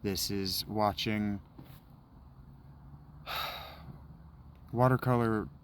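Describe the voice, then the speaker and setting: A man's voice: fairly low pitch, steady tone, extremely slow, slight background noise. A man doing casual let's play commentary, rambling and goofy, humming nonsense and whining that he wants to play.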